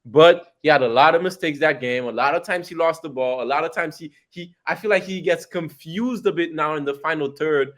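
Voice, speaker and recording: A young man with a Haitian accent co-hosts a casual football podcast, speaking a little high for a man. He gets animated, laughs and talks over his friend as he argues his points. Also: fairly quick, few pauses. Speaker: podcast co-host.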